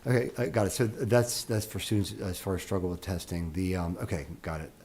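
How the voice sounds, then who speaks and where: Man, very deep, halting, many restarts, faint background noise. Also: darkish tone. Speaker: school board member. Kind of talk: questions at a board meeting.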